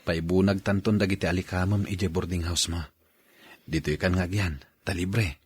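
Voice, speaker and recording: A man playing a devoted husband and father in a radio drama, earnest and gentle, with a deep husky voice and an Ilocano accent. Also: fairly even intonation, normal speed.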